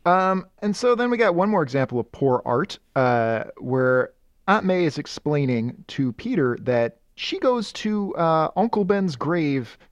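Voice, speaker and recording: Rambling, jokey man with lively pitch. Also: measured pace, clean tone, dry recording, dull audio. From Michigan, USA. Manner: rambling, self-correcting, jokey. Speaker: podcast co-host. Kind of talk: casual comic chat.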